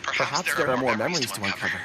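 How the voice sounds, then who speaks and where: A man voicing a gentle, crystal-loving young man. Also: mid-range voice, expressive, quick pace, very noisy, lightly acted. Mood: earnest. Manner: soft, earnest and polite. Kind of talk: game character dialogue.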